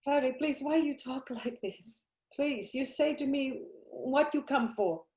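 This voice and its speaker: A woman's voice: high-pitched, measured pace, a little mellow. An actress playing a desperate Italian immigrant mother with an Italian accent, speaking broken English. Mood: anxious. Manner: broken English, pleading then raging. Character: grieving immigrant mother, older woman, desperate and fierce. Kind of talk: broadcast drama acting. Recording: roomy echoey recording.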